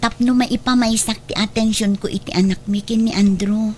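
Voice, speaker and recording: A young, polite wife with a high voice , acted by a woman, still a college student. She has a Filipino Ilocano accent and sounds like radio drama, with some noise in the recording. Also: fairly even intonation, moderate pace, a little mellow, natural voice. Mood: grateful, polite.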